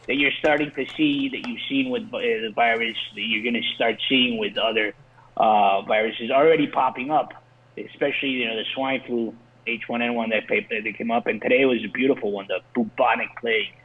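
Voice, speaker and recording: Earnest man on a tinny phone line. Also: medium pitch. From Colombia. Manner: earnest, repeats and restarts words. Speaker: guest, pharmaceutical industry insider. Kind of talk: explaining in an interview.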